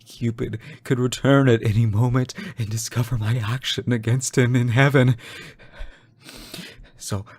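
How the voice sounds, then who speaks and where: A man playing an obsessive, lovesick fallen angel in a dramatic audio roleplay, theatrical and halting, bitter and unhinged. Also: medium pitch, fairly even intonation, slightly rough. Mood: panicked, frantic. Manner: theatrical, halting, sighing, swinging from hushed to frantic. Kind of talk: dramatic audio roleplay monologue addressed to a lover.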